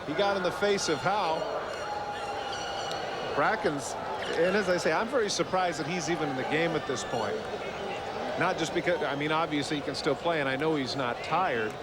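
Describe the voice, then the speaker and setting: American guy doing football color commentary, kinda high voice, opinionated and chatty, super noisy broadcast. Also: normal speed, pauses often. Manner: conversational, opinionated, explanatory. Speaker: color analyst. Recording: very noisy live stadium broadcast.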